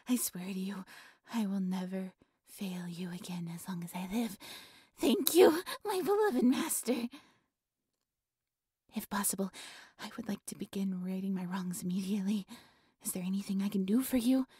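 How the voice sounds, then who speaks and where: Woman acting a breathy, whispery, worshipful dark priestess. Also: medium pitch, no room sound, studio quality. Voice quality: soft, breathy, often whispery. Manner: reverent, formal, fawning. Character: devoted, obsessive young high priestess worshipping her dark god. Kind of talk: breathy audio roleplay acting.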